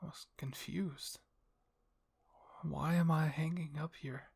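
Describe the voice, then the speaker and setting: An anxious young captive, voiced by a man thinks aloud in an audio story. The voice is creaky and husky, full of confused, worried questions. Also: on the high side, steady tone, takes it slowly, a little mellow, heavily acted.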